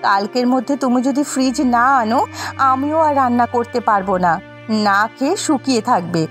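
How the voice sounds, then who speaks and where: A woman's voice: fairly high pitch, brisk, soft background music, natural voice. A woman playing a demanding, jealous village wife in a cartoon folk tale, scolding and insistent. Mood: angry. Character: demanding, jealous village wife nagging her poor husband.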